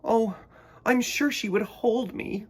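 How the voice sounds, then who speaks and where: A man's voice: extremely high pitch, slow, a little hiss or hum, voice pushed up. A man voicing a lonely, earnest little bird child in a storybook, pleading and exclaiming. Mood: sobbing, wistful.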